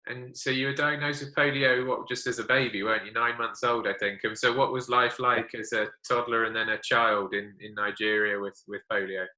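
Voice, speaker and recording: A man's voice: mid-range voice, flat delivery, a little fast, few pauses, bright, forceful tone, roomy sound, rough recording. A man hosting an interview, introducing his guest and asking questions in a friendly presenter manner. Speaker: interviewer, broadcaster.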